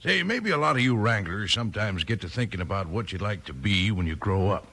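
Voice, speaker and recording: Man, folksy vintage radio ad pitch, lowish husky voice, lively intonation. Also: brisk. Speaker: commercial pitchman. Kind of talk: folksy 1950s radio cereal ad addressed to children. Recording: old radio recording.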